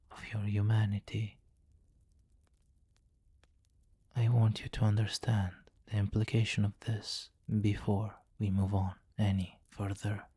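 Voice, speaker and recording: Man voicing a low, hushed, possessive vampire king. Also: breathy edge, dry recording. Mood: intimate, commanding. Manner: formal, deliberate, domineering, often dropping to a whisper. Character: possessive, seductive, commanding male vampire king. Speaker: audio roleplay performer. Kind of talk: slow intimate ASMR roleplay.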